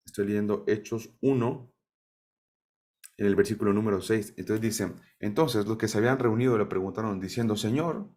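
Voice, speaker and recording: Low-voiced man teaching scripture. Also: a little mellow. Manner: asks the audience questions and checks in with "¿sí?". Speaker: teacher of a Bible study. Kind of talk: sermon-style Bible teaching.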